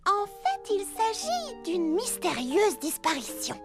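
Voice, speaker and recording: An earnest little girl heroine from a children's cartoon. The voice is extremely high and sweet. Loud music runs behind it. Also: wildly swooping pitch, slow, heavily acted. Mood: hesitant, helpful. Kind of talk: children's cartoon dub acting.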